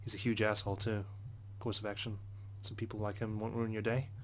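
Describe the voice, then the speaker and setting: A man's voice: on the low side, flat delivery, fairly quick, a little hiss or hum. A man reading visual novel narration aloud in an introspective, first-person manner. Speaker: let's play narrator.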